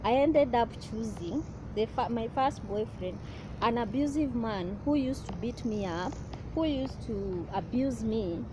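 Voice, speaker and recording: Earnest woman with street noise. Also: on the high side. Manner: halting, earnest, with restarts and pauses. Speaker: vlogger. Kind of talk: personal testimony vlog. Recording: clear outdoor street noise behind.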